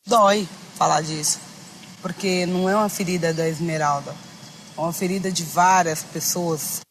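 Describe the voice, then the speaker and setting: A woman's voice: on the low side, fairly even intonation, normal speed, a little hiss or hum. A woman speaking in a reflective interview, often restarting and repeating phrases. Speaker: interviewee, author.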